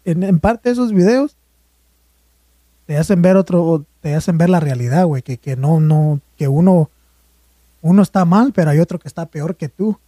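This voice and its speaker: A man's voice: fairly high pitch, normal speed, mellow, no echo. A young man with a Mexican accent rambling in casual podcast chat, slangy and swearing freely. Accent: Mexican Spanish. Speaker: podcast co-host.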